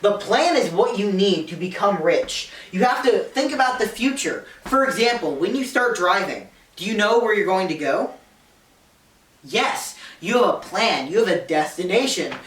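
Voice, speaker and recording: A woman's voice: medium pitch, expressive, normal speed, recorded from a distance, a little hiss or hum. Woman lecturing from off mic, roomy. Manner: mocking, slips into voices of the people she summarizes. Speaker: comedy lecturer summarizing a book. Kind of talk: comedic lecture to a live audience.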